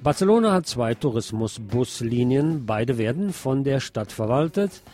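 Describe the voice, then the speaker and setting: A man's voice: medium pitch, sing-song, moderate pace, mellow, faint music behind. A man presenting on radio, reading scripted local news and commentary.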